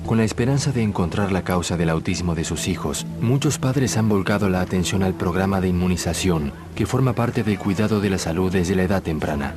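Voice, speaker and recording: A man giving scripted documentary narration in a steady, informative tone. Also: sits low, quick pace, few pauses. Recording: music underneath.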